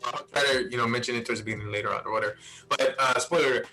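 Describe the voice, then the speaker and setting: A man with a bright, forward voice hosts a relaxed-worded chat, informal and conversational like talk between friends. Music runs underneath him. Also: medium pitch, racing, low-quality recording. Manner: informal, saying "man" and "bro" often. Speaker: host. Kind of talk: casual chat about video game movies. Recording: music playing behind the voice.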